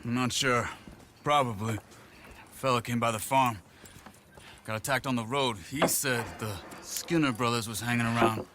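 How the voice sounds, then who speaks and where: An actor playing a steady, protective frontier gunman, direct and no-nonsense, in a game cutscene. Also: medium pitch, normal speed, background noise, close to an everyday voice. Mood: grave. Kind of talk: dramatic game cutscene acting.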